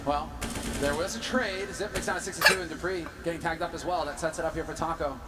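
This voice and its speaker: A man commentating a live esports match with animated analysis that breaks into screams at the big plays, heard on an arena broadcast. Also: a little high, quick pace. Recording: arena broadcast with loud crowd and noise.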